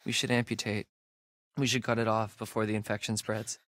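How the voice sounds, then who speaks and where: A man's voice: on the low side, little pitch movement, a little fast, fairly bright tone, dry recording, studio quality, natural voice. A young man with an American accent acting a wisecracking, nervy camp counselor in a video game, with casual, hesitant delivery. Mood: alarmed.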